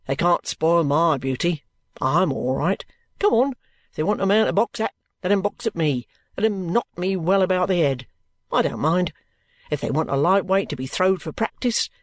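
A reader voicing an eager, rough, lower-old soldier in a storybook. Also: dry recording, close to an everyday voice. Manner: eager, excitable, ungrammatical.

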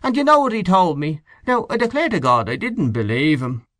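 A man voicing a grumbling old male caretaker who speaks slowly and grudgingly. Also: sits high, big pitch swings, a bit bright, dry recording, clean studio sound, voice pushed up. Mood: gossipy. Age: older. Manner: slow and grudging.

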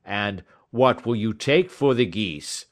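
Audiobook part: gruff, snappish stallholder, acted by a male reader, cocky and blustering, with lively swings in pitch. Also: takes it slowly. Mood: irritated, blustering. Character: gruff, hot-tempered cocksure market poultry salesman. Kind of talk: audiobook character dialogue.

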